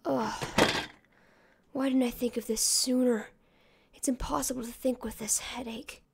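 A woman voicing a sick, stubborn, brainy teenage boy mutant turtle, weary and halting, in an amateur comic dub. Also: fairly high pitch, fairly even intonation, creaky, very noisy, pitched below the performer's own voice. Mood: weary, sickly.

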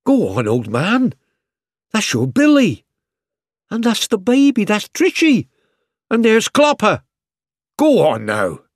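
A man's voice: high voice, huge pitch swings, takes it slowly, pauses often, no room sound, studio quality, voice pushed up, heavily acted. A man voicing a loyal young soldier in a story, emotional and pleading in manner. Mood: gentle, encouraging.

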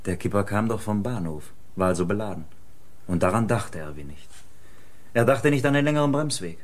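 A man plays a police investigator in a radio crime drama. The voice is low, with a brisk, explanatory, matter-of-fact delivery. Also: animated, natural voice. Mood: explaining.

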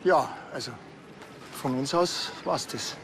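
An actor playing a dry, sardonic small-town policeman with a Bavarian accent in a comedy series, deadpan and unbothered. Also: on the high side, takes it slowly, vocal fry, noisy background. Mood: matter-of-fact.

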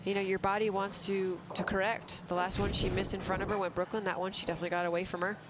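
A man with a fairly high voice explains bowling technique shot by shot, analytical and detailed. Clear background noise runs under him. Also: flat delivery, fast talker. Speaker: color commentator. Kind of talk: analytical sports commentary.

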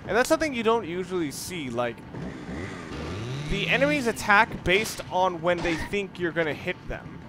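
A man's voice: sits high, big pitch swings, normal speed. A man giving casual, rambling video game commentary, explaining his strategy as he plays and swearing mildly. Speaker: gamer, let's play host. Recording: noisy, game ambience behind voice. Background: video game sounds.

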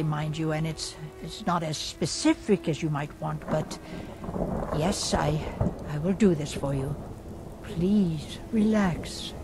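An actress playing a weary old fortune-teller in a fantasy game, with halting, portentous phrasing over music. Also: female voice, fairly low pitch. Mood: hesitant, soothing. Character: weary old fortune-telling seer woman, folksy, eerie in trance. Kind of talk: fantasy video game acting.

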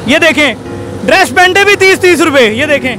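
A young man, presenting a street market to camera in an energetic, repetitive salesman's way. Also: very high voice, fairly quick, over music. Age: 20s. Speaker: street vlogger and host. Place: outdoor roadside market. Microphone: handheld recorder held at chest. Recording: noisy busy street with traffic and crowd. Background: crowd and passing vehicles.

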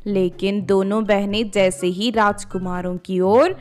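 A woman's voice: medium pitch, fairly even intonation, a little slow, a little mellow. A woman reading scripted fairy-tale narration as the storyteller of a children's cartoon. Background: background music.

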